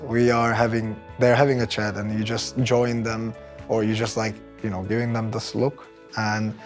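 A man's voice: mid-range voice, a little mellow. This is a man in his thirties with a Turkish accent and a mid-range voice. He speaks conversationally, as an employee giving an interview. He is recorded on a lapel mic, with some music playing behind him. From Turkey. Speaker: employee interviewee, technical project manager. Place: bright office room indoors, by large windows. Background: background music.

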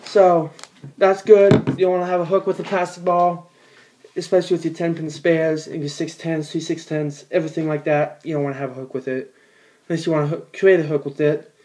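A man's voice: a little high, flat delivery, a little fast, warm tone, slight background noise. A teenage boy in a small room at home, giving a casual how-to in a rambling, plain-spoken way with many fillers and restarts. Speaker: bowler demonstrating ball cleaning.

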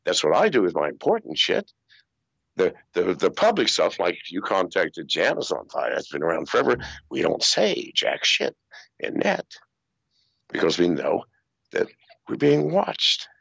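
An older man telling stories in an interview over a video call, swearing freely and talking in an animated way. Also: low-pitched, measured pace, gravelly, husky, dry recording, muffled recording. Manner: profane, animated storytelling with laughs. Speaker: interview guest. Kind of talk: casual interview storytelling. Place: small room with acoustic foam walls. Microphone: video call wearing over-ear headphones.

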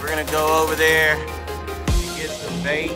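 A young man narrating his own fishing trip in a relaxed, slangy way, talking to a camera on his boat. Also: fairly high pitch, moderate pace, over loud music. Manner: laid-back, slangy, talks to camera while working. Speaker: fishing vlogger. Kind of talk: casual outdoor vlog narration. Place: outdoors at a boat ramp and on open water.

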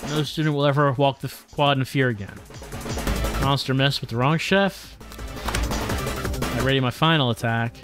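A male streamer with a mid-pitched voice reads a visual novel aloud. His delivery is wry and deadpan, slipping between game text and his own commentary. Game music plays clearly behind him. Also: normal speed, pauses often.

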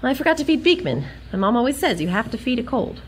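Woman acting as spirited, high-voiced American heroine. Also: very animated, fast talker, a little hiss or hum. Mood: worried, tender. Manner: spirited, wry, defiant. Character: plucky, earnest young American heroine, Egyptology graduate. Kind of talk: pulp adventure audio drama.

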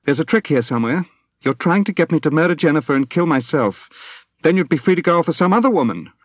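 A man voicing a young wife and mother who is anxious and protective, her speech pleading and rising to sharp anger. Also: medium pitch, big pitch swings, normal speed, vocal fry, no room sound, pitched above the performer's own voice. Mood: furious.

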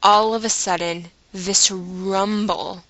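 A woman vlogger telling a personal story to her viewers in a chatty, animated way, with dramatic emphasis and acted-out asides. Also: medium pitch, slow pace, dry recording, low-quality recording. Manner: chatty, dramatic emphasis, acting out her own inner dialogue.

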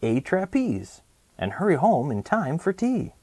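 A hurried, muddled little boy from a storybook, acted out by a man, recites in a breezy singsong. The pitch is raised and swoops a lot, with some words stretched out. The recording is dry. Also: huge pitch swings, darkish tone, husky, dry recording, full character voice. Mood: hurried, confused. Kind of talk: children's picture book read-aloud.

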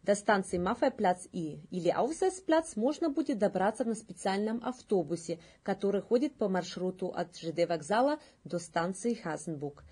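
A woman in her thirties reading scripted news as a presenter, with a slightly husky voice. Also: mid-range voice, lively intonation, moderate pace, few pauses, mellow.

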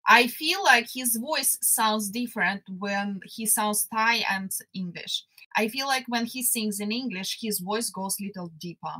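A young woman co-hosting a casual music reaction chat on a video call, speaking thoughtfully and pausing to find her words. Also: mid-range voice, bright, forceful tone, low-quality recording. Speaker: co-host. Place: small room at home, video call. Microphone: headset.

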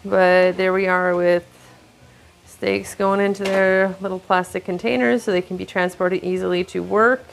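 A woman casually narrating her weekly meal prep in a home kitchen, with relaxed, dry running commentary. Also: medium pitch, normal speed, creaky, slight background noise, poor audio. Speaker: home cook vlogger.

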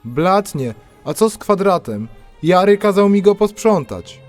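Comic radio play underdog, male actor: meek slang-talking lad, high pitch, light music bed. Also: very animated, unhurried, darkish tone. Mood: questioning. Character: downtrodden slangy young dreamer, male Cinderella. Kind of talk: comic audio drama acting.

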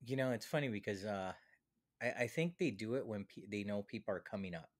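A man's voice: fairly low pitch, fairly quick, no echo. A man in his thirties chatting casually on a podcast in a rambling, slangy way, close to a studio mic. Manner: rambling, slangy, peppered with "dude". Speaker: podcast host. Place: small home studio. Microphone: close studio mic on boom arm.

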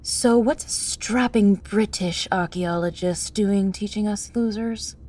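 Woman doing a cheeky teen schoolgirl, teasing, unhurried delivery. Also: medium pitch, mellow. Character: teasing, cheeky teenage high school girl. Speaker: student.

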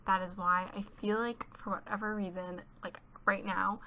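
A woman's voice: mid-range voice, level tone, normal speed, pushed and bright, a little hiss or hum. Chatty teenage girl with a stuffy cold. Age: teens. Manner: chatty, rambling, giggly. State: congested from a cold. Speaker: YouTuber. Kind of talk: casual voice-over chat. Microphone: home voice-over mic.

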